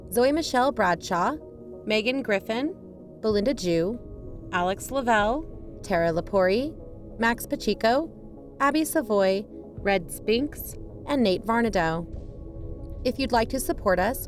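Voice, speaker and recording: A woman's voice: mid-range voice, natural voice. A woman playing a wry podcast co-host, speaking in a scripted announcing style. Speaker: podcast co-host reading credits.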